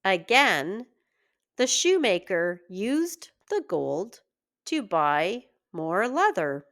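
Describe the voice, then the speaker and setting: Very slow, animated woman reading a fairy tale. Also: mid-range voice. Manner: expressive, deliberate storytelling for young children. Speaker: children's story reader, teacher. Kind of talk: read-aloud children's fairy tale narration.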